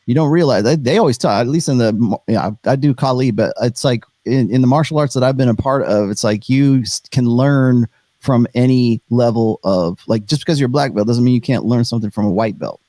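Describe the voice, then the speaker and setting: A man's voice: mid-range voice, a little fast, warm tone, no room sound. A middle-aged man, an author guest in a casual interview, explaining his ideas confidently and plainly.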